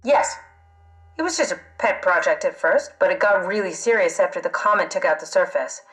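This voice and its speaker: A woman's voice: on the low side, lively intonation, brisk, fairly bright tone. An actress playing a wry, pragmatic woman scientist in a video game, with a matter-of-fact manner. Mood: explanatory.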